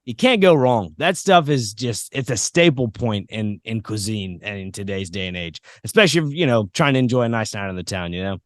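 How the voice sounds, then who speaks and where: A man's voice: lowish voice, lively intonation, fairly quick, few pauses, no room sound, studio quality. A man hosting a podcast in a casual, informal, anecdotal chat style. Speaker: podcast host.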